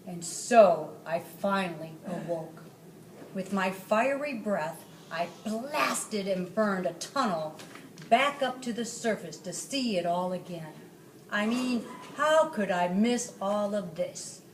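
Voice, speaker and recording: A woman's voice: medium pitch, expressive, slow. A woman voicing a genteel, cultured male dragon in a children's storybook, chatty and flowery in manner. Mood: wistful, dramatic. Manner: chatty, flowery, self-satisfied. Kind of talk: children's storybook read-aloud. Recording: roomy, distant mic, faint noise.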